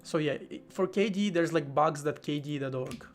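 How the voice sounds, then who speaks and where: A man live-streaming while he codes, thinking aloud in a halting way, with many fillers and restarts. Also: a little high. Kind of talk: casual live-stream talk while coding.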